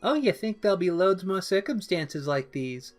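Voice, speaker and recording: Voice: mid-range voice, big pitch swings, moderate pace, faint music behind, voice pushed up, lightly acted. A narrator voicing a bubbly, mischievous, childlike young villainess who exclaims, teases and pouts playfully in an audiobook-style story. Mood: teasing. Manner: exclaiming, teasing, playfully pouty.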